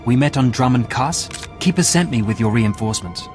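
An actor playing a dutiful young intelligence analyst in a video game, businesslike and deferential. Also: male voice, mid-range voice, lively intonation, brisk, music in the background, lightly acted. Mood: earnest, businesslike. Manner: businesslike, deferential, matter-of-fact.

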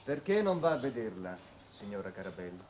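A man's voice: lowish voice, sing-song, a little mellow, slight background noise. An actor playing a courteous, eager young nobleman in a 1950s Italian television period drama, on an old soundtrack. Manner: polite, brisk greetings.

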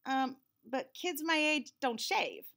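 A woman's voice: sits high, slow, sharp tone, heavily acted. A woman voicing an earnest young storybook boy who is full of questions. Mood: curious.

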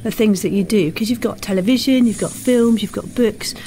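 A woman's voice: mid-range voice, brisk, background noise. A woman interviewing children in a casual, enthusiastic and encouraging way for a podcast.

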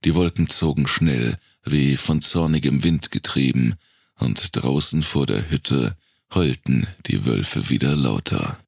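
An extremely low-voiced man narrates a dark thriller audiobook. His voice is husky and full of gravelly fry. He reads scripted prose as a storyteller. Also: a little slow, no room sound, studio quality.

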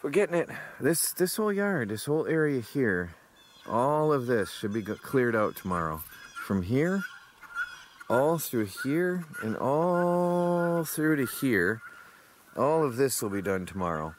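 A man's voice: mid-range voice, sing-song, a little slow, slight background noise. A man casually narrating a walk-through of his homestead yard and workshop, rambling and plain-spoken, tired after a day of hard work, recorded on the camera mic. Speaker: homestead DIY vlogger. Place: outdoors in a yard, then a workshop.

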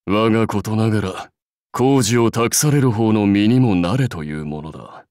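An actor playing a proud, seasoned samurai warlord in a game, speaking in stately, measured, archaic phrases with wry authority. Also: male voice, lowish voice, darkish tone, no echo, studio quality, lightly acted. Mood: rueful. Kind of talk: scripted game character lines.

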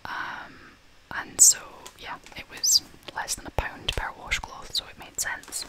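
Young woman, whispered voice, ASMR vlog, unhurried, informal, chatty. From half Scottish, half American. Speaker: vlogger showing purchases. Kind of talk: whispered ASMR shopping haul.

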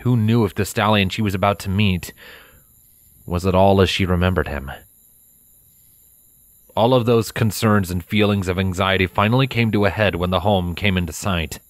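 A man with a deep voice reads fiction as a narrator. His delivery is scripted and dramatic, recorded dry and close. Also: normal speed, long pauses, no echo.

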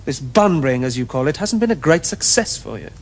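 An actor playing an earnest, stuffy young Victorian gentleman, indignant and pompous, with a clipped upper-class English accent. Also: fairly high pitch, sing-song, brisk, a little hiss or hum. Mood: stern, exasperated. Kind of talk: period stage comedy acting for television.

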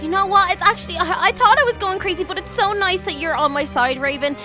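A woman's voice: extremely high pitch, quick pace, sharp tone, faint music behind. A woman voicing a hot-headed, emotional young woman twin in a roleplay, rambling in a breathless, gushing way. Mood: frazzled.

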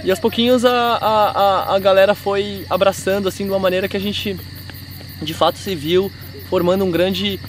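A young man, cofounder of the project, talking relaxed and halting in a documentary interview outdoors by a sports field. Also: sits high. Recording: distant mic with outdoor noise.

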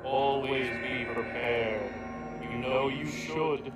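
Loud music sits under an extremely slow voice. A man performs a theatrical, spooky Halloween narrator for a radio play, drawing out each rhymed line at a middle pitch. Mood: foreboding. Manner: very slow, drawn-out, rhyming.

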